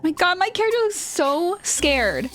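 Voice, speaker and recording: High-voiced woman streaming a game playthrough. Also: sing-song, unhurried, over music. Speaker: gaming streamer reading game dialogue aloud. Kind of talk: casual livestream playthrough with reactions.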